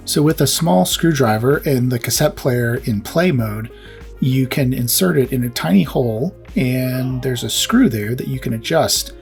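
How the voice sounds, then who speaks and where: A man's voice: medium pitch, a little mellow. Man giving a casual hands-on tech demo. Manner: explanatory, step by step. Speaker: host of a retro computing repair channel.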